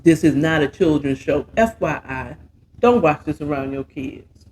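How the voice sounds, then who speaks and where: An American man hosting a show, casually retelling a romance story with dramatic, sassy asides and drawn-out exclamations. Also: a little high, expressive, darkish tone, a little hiss or hum. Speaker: host of a story recap show.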